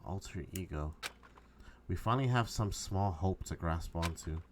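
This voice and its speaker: A man's voice: low voice, lively intonation, normal speed, slight background noise. A man streaming a game, reading its narration aloud and chatting casually between lines. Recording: music behind the voice.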